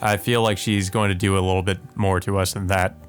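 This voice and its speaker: Man, low voice, let's play host, casual commentary and reading aloud, music underneath. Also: flat delivery, fairly quick. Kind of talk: casual commentary while reading visual novel text aloud. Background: video game music.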